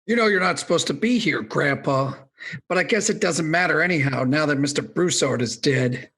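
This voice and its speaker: Man playing a creaky, gruff swamp local. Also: a little high, moderate pace, pushed and bright. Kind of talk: audio drama acting.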